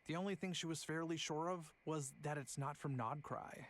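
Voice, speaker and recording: A man's voice: a little high, normal speed, fairly bright tone, a little hiss or hum, lightly acted. A man voicing an easygoing young traveller in a video game, explaining in a wry, conversational way.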